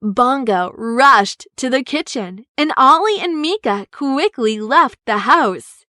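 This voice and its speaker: A woman reads a children's story with expressive, deliberate delivery. Her voice is high and very animated, and she takes her time. The recording is close and dry. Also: no room sound. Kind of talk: children's story read-aloud narration.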